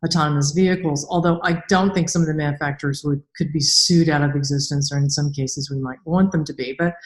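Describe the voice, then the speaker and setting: A woman's voice: sits low, steady tone, fast. A woman hosting a podcast interview in a casual, chatty style. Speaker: podcast host, author and engineer. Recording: roomy, echoey sound.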